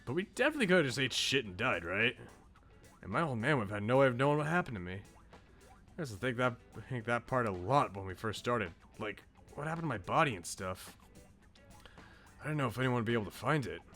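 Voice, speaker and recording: A man's voice: medium pitch, sing-song, fast talker, fairly bright tone, soft background music. A man voicing a brash, insecure but loyal eighteen-year-old slacker in a visual novel, with blunt, casual slang. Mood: reflective. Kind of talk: visual novel character read aloud.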